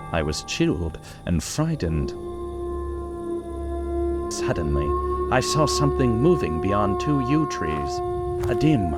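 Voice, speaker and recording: Man playing a slow, haunted doctor, radio drama. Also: fairly low pitch. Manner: measured, dramatic diary-like recounting. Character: haunted, skeptical male doctor recounting horrors. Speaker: actor. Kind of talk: vintage radio drama narration.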